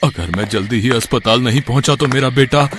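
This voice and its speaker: Guy doing a shopkeeper dad in a moral story, plain earnest voice, mid pitch. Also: a little fast, a bit bright, a little hiss or hum, lightly acted. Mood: pleading. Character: greedy shopkeeper turned anxious, pleading father. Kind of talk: amateur moral-story character acting.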